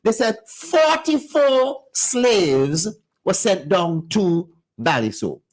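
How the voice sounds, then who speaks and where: A man's voice: high voice, big pitch swings, takes it slowly, low-quality recording. Insistent man arguing on radio. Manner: insistent, interrupting, lecturing. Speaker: radio co-host. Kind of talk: heated radio discussion. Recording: radio studio.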